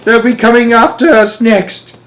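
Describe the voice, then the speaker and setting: A man voicing a frantic, bossy old grandmother in a storybook, shrill and exclaiming. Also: level tone, moderate pace, fairly bright tone. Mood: shrill panic.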